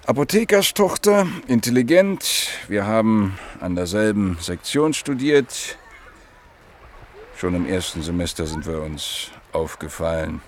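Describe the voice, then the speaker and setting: Married man in his thirties, deep gravelly voice, wry, evasive, clipped phrases, radio drama, faint noise. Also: sing-song, unhurried. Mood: matter-of-fact, guarded. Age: middle-aged. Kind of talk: radio play dialogue.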